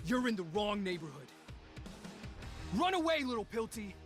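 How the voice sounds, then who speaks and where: An actor playing a cocky, angry teenage street inventor who controls time, with brash, taunting game voice lines. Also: male voice, sits high, very animated, normal speed, faint music behind. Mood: defiant taunting. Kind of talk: video game character voice lines.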